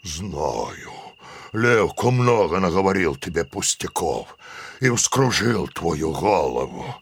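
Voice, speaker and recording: An actor playing a stern, boastful older village headman, husky and threatening, in a theatrical audio drama. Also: sits low, very animated, exaggerated voice. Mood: scornful. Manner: slow, blustering, threatening.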